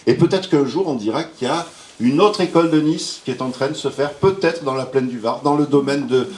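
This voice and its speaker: A man's voice: mid-range voice, a little fast, darkish tone. A man giving a rambling, hesitant speech to an audience at a book launch. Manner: rambling, hesitant, with jokes and praise. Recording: roomy hall with faint ambience.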